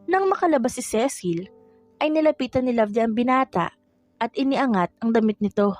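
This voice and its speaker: Female audiobook narrator for a romance tale: higher register, dry recording, light musical bed. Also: animated, brisk, darkish tone, dry recording, faint music behind. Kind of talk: scripted romance story narration.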